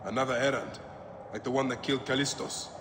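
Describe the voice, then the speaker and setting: An actor playing a terse, defiant warrior in a video game cutscene, intense and determined. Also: male voice, medium pitch, fairly even intonation, fast talker, reverberant, big-room sound, noisy background, lightly acted. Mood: guarded, probing.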